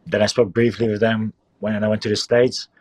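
A young Dutch man with a lowish voice and a New Zealand-tinged accent. He answers casually and hesitantly, full of little fillers, and the sound is dry and close. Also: flat delivery, brisk, darkish tone, no echo. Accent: Dutch with New Zealand English. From Netherlands. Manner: laid-back, hesitant, lots of um and like. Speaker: rugby player guest.

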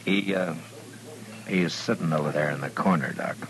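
Very deep, terse American frontier lawman. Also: moderate pace, vocal fry, crowd noise. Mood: dry, relaxed. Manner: terse, deadpan, laconic. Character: steady, dry, unflinching frontier lawman, adult man. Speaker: actor in a radio western. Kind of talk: old-time radio drama acting. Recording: noisy vintage radio recording with hum.

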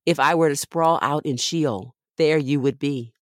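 A woman's voice: sits low, a little slow, no echo, clean studio sound. A woman reading Bible passages aloud as a scripted devotional for a podcast.

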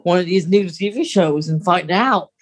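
A podcast co-host with a medium-pitched voice chats in an informal way. The delivery is conversational and speculative, as if thinking aloud about lore. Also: moderate pace, breathy edge. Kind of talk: casual chat about Star Wars lore.

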